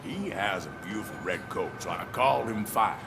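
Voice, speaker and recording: An oblivious, self-satisfied male citizen with a gravelly, lively voice. He chats earnestly in video game style, in a noisy, echoing hall. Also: lowish voice, moderate pace, music in the background. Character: oblivious, proud dog-owning male citizen with complaints.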